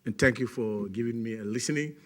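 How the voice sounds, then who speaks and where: A man's voice: medium pitch, fast talker, a bit bright. Man, CEO, impromptu remarks, many fillers. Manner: non-native phrasing, searching for words with many fillers. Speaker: CEO of a nonprofit.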